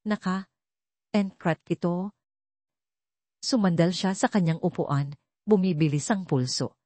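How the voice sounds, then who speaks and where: A woman's voice: fairly low pitch, normal speed, mellow, no room sound. A woman reading a scripted dramatic story as its narrator.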